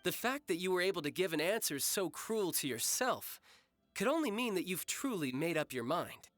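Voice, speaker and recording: A cocky young warrior who loves a fight, in a video game. His delivery is animated and teasing, smooth-talking and breezy. Faint music sits behind him. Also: high-pitched, normal speed, no echo. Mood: approving. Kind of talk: English video game voice acting.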